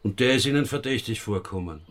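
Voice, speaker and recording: An actor with an Austrian accent playing a dry, cautious police inspector in a radio drama, formal and firm. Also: male voice, on the low side, lively intonation, fairly bright tone. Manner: measured, formal questioning.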